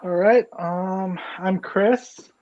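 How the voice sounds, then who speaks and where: A man's voice: high voice, extremely slow. A man in his thirties from Hawaii, co-hosting a casual podcast, rambling with lots of fillers. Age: 30s. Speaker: podcast co-host. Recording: dull lo-fi audio.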